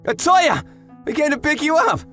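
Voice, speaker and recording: A man playing a tough but kind, doting yakuza in a manga dub, swinging from blunt and gruff to excitable. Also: very high voice, normal speed, exaggerated voice. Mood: excited, doting. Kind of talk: animated manga dub character acting. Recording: voice over background music.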